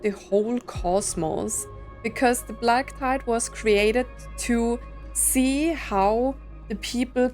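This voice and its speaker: Woman musing aloud over game music. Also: mid-range voice. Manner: thinks aloud, questioning. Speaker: gaming streamer reacting to a story quest. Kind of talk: casual reaction commentary.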